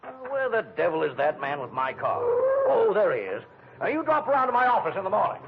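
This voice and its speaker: A man's voice: high-pitched, wildly swooping pitch, brisk, gravelly, exaggerated voice. An actor playing a pompous, blustering, self-important businessman who hems and condescends in a 1940s radio drama. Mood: brisk, blustery. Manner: hemming, jovial and condescending. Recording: muffled, faintly noisy old radio broadcast.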